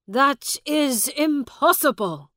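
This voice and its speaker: A woman's voice: a little high, lively intonation, very slow, no echo, studio quality. A woman voicing an earnest young man in a storybook, pleading with emphatic emotion. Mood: shocked, demanding. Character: Miles, warm earnest young man returning home, desperate and disbelieving.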